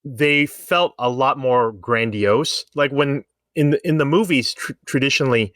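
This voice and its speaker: A man's voice: mid-range voice, a little slow, fairly bright tone, no echo. Man chatting casually, dry close sound. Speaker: podcast co-host. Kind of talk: casual fan discussion.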